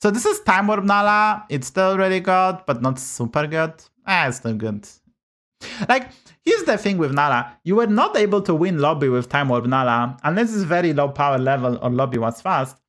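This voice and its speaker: A man's voice: a little high, animated, normal speed, a bit bright. Blunt Polish-accented man streaming. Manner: blunt, swears often, rants about bugs. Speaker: game streamer. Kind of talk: casual live-stream commentary.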